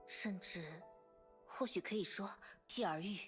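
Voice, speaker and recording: A woman's voice: medium pitch, measured pace, fairly bright tone, soft background music, natural voice. An actress playing a female narrator of a fantasy game story, reading scripted narration. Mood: reflective narration.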